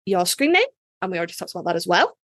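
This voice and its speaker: A woman's voice: on the low side, big pitch swings, rapid-fire, fairly bright tone, no room sound, clean studio sound. A woman co-hosting a podcast in a chatty, playful way, often restarting her sentences. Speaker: podcast co-host. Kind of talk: casual chat.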